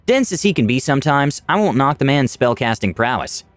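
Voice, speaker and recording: A man's voice: mid-range voice, very animated, brisk, no echo. A man narrating a scripted fantasy adventure story in the first person, with dramatic delivery. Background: music.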